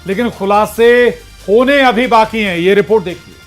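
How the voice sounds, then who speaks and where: A man's voice: high voice, moderate pace, faint music behind. A man presenting scripted news as a co-anchor.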